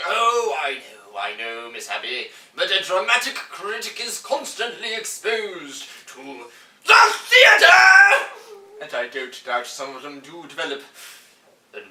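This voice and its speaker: A man playing a pompous, sharp-voiced disapproving gentleman. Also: on the high side, very animated, measured pace, pitched below the performer's own voice, full character voice. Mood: scornful. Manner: formal, pompous, theatrically emphatic. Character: pompous, disapproving gentleman Doctor Harper, given to outbursts. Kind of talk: stage comedy read-through. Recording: roomy echo, distant mic.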